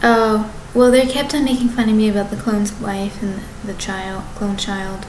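Woman in her thirties, rambling webcam monologue, stop-start phrasing, roomy echo, steady background hiss. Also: mid-range voice. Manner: halting, many restarts and self-corrections. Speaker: vlogger. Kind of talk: rambling direct-to-camera monologue. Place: apartment living room with kitchen behind. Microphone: webcam at desk distance. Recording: echoey room with background noise.

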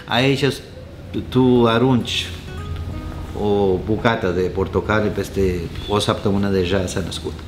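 Costa Rican man in his sixties, low voice. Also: fairly even intonation, faint music behind. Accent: Costa Rican Spanish. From Costa Rica. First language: Spanish. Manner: warm storyteller, chuckles often, gestures with hands. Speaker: restaurant owner. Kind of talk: casual interview chat. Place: indoor restaurant bar.

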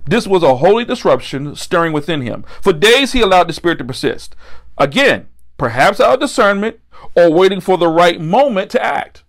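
A middle-aged American man preaching a sermon, phrasing deliberately and repeating key lines for emphasis. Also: high-pitched, very animated. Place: indoor set with a decorated backdrop.

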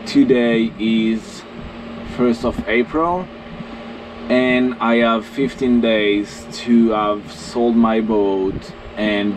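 A man's voice: lowish voice, background noise. A young man with a French accent, vlogging casually about his sailing trip with a camera, thinking aloud with fillers. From France.

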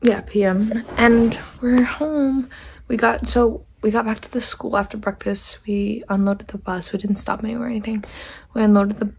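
A woman's voice: on the high side, fairly even intonation, normal speed, creaky, hardly any pauses, darkish tone, slight background noise. A young woman chatting casually to camera in an informal, rambling, youthful way, recorded on a handheld camera at arm's length. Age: teens to young adult. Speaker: vlogger. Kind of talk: casual vlog chat to camera.

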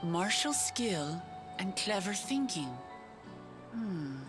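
A woman's voice: mid-range voice, very animated, slow pace, over music. A woman voicing an earnest young lion-woman warrior princess in a video game cutscene. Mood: reflective.

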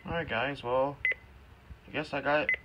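A man casually demonstrating a gadget on a handheld camera indoors, thinking aloud in halting phrases. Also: mid-range voice, steady tone, highs cut off. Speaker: vlogger showing a gadget. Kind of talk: casual hands-on demonstration, muttering to self. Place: indoors in a cluttered home room. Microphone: handheld phone or camera held close to device. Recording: noisy handheld home recording with clicks and beeps. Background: loud noise, button clicks and device beeps.

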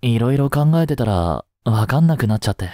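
A man playing a caring, easygoing high school teacher in a voice drama, speaking conversationally with gentle concern. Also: big pitch swings, brisk, dry recording, clean studio sound, natural voice. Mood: worried, polite. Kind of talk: scripted Japanese voice drama acting.

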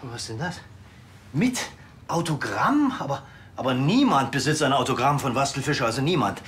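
A man's voice: mid-range voice, expressive, fairly quick, fairly bright tone, slight background noise, lightly acted. An actor playing an earnest male crime writer, formal, hesitant and effusive, in a TV comedy. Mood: flattered, awed. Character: earnest, self-important male crime novelist.

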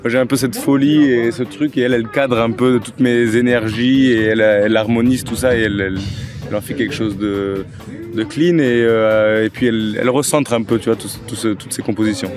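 A man, a musician talking in a relaxed outdoor interview, with many hesitations. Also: mid-range voice, brisk, over music. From Toulouse, France. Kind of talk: casual interview. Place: outdoors against an old wall. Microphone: handheld mic held by interviewer.

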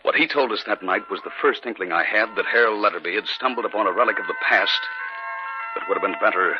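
An actor playing a steady husband caught in an eerie haunting, with deliberate, dramatic 1950s radio delivery. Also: male voice, fairly low pitch, music in the background, lightly acted. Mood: grave, uneasy. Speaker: actor narrating and playing the lead. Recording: dull, noisy 1950s radio recording.

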